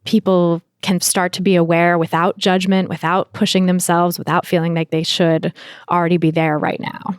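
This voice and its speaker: A woman's voice: lowish voice, little pitch movement, normal speed, clear, resonant tone, no echo, studio quality. A woman hosting a podcast, talking conversationally and thinking aloud as she interviews.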